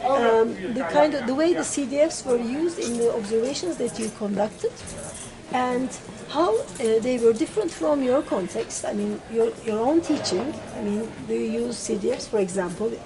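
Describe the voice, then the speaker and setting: A woman runs an interview, her voice sitting a little high. She hesitates, fills gaps with "uh" and rephrases her questions. A steady hum of many people chatting fills the room behind her. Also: moderate pace, rough recording. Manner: hesitant, full of fillers and restarts. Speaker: interviewer and teacher trainer. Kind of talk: semi-formal interview questions. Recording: loud crowd chatter behind.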